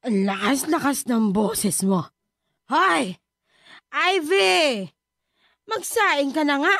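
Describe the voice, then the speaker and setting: A woman's voice: high voice, lively intonation, slow pace, gravelly, a bit bright, no room sound, studio quality, full character voice. An actress playing an abusive, drunken, bitter mother in a Filipino radio drama, scolding and belittling. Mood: irritated scolding. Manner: scolding, belittling, hot-tempered.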